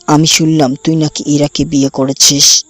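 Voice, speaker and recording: Male actor as young bully, menacing, contemptuous, commanding, higher-pitched, dry close sound, audio drama. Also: little pitch movement, normal speed, fairly bright tone, no room sound, soft background music. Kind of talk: audio story acting.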